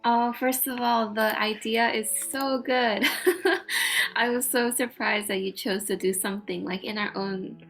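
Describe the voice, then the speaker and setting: A woman's voice: a little high, fairly even intonation, a little slow, fairly bright tone, soft background music, low-quality recording. A woman teacher giving casual, effusive feedback to students, informal and peppered with "like". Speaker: guest teacher.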